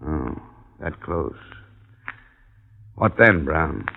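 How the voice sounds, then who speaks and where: An actor playing a tough, world-weary American investigator in 1950s radio noir, terse and measured in dialogue and narration. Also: male voice, a bass voice, expressive, vocal fry, exaggerated voice. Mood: wary, firm. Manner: terse, measured, hard-boiled. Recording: muffled, noisy old radio recording.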